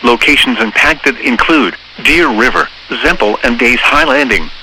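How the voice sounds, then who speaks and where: Synthetic male weather radio announcer, very bright and forceful, formal bulletin read over faint static. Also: mid-range voice, unhurried. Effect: synthesized text-to-speech voice. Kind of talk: scripted emergency weather bulletin. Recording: noisy weather radio broadcast.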